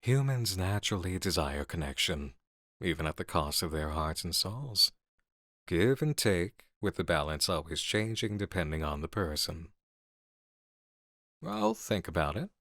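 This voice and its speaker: A man's voice: low voice, very animated, a little slow, fairly bright tone, dry recording, clean studio sound, full character voice. A man playing an intense, assured horror game developer who muses intimately and philosophically in an ASMR roleplay. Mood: musing, seductive.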